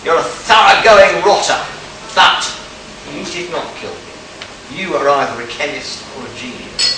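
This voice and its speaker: Stern, deliberate detective for a radio play, male actor, distant from the mic, clear background noise. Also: a little high, close to an everyday voice. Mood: accusing. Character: stern, shrewd male detective accusing murder suspects. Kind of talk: amateur radio play acting. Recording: echoey, distant live recording with noise.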